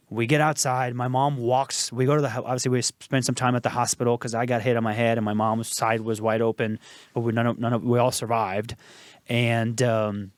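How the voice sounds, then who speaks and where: A man in his thirties from Afghanistan, a podcast guest telling his life story in a relaxed way, repeating phrases and fillers. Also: mid-range voice, no echo, clean studio sound. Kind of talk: casual storytelling interview. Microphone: podcast studio mic.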